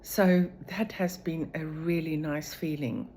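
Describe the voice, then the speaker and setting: A woman talks through a personal video diary in a deep, low voice. She speaks slowly and measuredly, pausing often between thoughts. A faint hum of room noise sits behind her. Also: steady tone, warm tone. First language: Afrikaans. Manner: slow, measured, with frequent pauses and fillers. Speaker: vlogger sharing her recovery.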